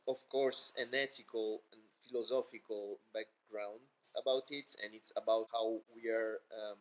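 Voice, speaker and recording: Man, low voice, dull lo-fi podcast sound; a guest who searches for words, pauses often and restarts his sentences. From Italy. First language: Italian. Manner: halting, many fillers and restarts. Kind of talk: casual interview chat.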